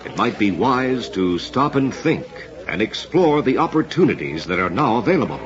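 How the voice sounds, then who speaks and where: A dull, band-limited old radio recording of an American man announcing in 1950s style. His voice sits fairly low and swings widely in pitch as he delivers scripted copy with polished emphasis. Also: very animated, moderate pace, noisy background.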